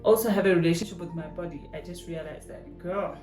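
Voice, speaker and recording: A woman in her thirties talks to her viewers in an easy, slangy vlog manner, her voice sitting a little low. She records in a bathroom that rings with echo, and soft music plays faintly behind her. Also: fast. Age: 30s. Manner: chatty, addresses viewers as "guys", slangy "yo". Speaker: vlogger. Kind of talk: casual vlog chat.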